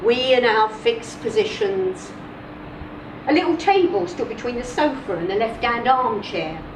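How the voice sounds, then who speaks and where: A woman performs a first-person story in a high voice, expressive and deliberate, leaving measured pauses between phrases. She is recorded at a distance in an echoing room, with clear background noise. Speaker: reader of a short story. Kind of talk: dramatic first-person story reading, live literary performance.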